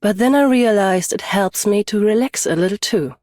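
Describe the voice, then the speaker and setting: A woman's voice: mid-range voice, normal speed, darkish tone, no room sound, clean studio sound, close to an everyday voice. A woman playing a stoic, battle-hardened champion swordswoman and bodyguard in a video game, restrained and commanding. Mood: cool, reflective. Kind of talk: scripted game character voice lines.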